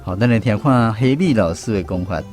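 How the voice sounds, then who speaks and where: A man's voice: on the low side, animated, fairly quick. A man with a Taiwanese accent, speaking as a radio host leading a language quiz segment. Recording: music playing behind the voice. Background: instrumental music.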